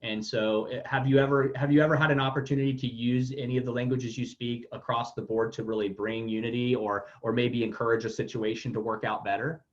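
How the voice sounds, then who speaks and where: A man's voice: mid-range voice, steady tone, fairly quick, few pauses. A man hosting a casual podcast interview, rambling with many fillers and repeated words. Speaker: podcast host. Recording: dull lo-fi audio.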